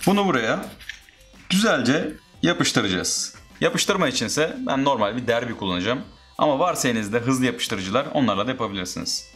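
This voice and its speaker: A man's voice: medium pitch, lively intonation, normal speed, a bit bright, soft background music. Casual man narrating a DIY tutorial. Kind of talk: casual step-by-step how-to narration.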